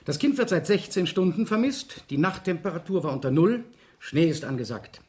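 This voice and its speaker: An actor playing a courteous police superintendent in a 1970s radio crime drama, formal and brisk but awkward on delicate matters. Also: male voice, high voice, sing-song, a little mellow. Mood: brisk, welcoming.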